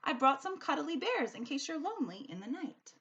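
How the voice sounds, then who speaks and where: A woman's voice: high-pitched, very animated, fairly quick, a bit bright, lo-fi sound, pitched above the performer's own voice, heavily acted. A woman voicing a brave, kind little princess in a storybook, with a sweet, childlike delivery. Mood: reassuring. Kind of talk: storybook character voice in a read-aloud.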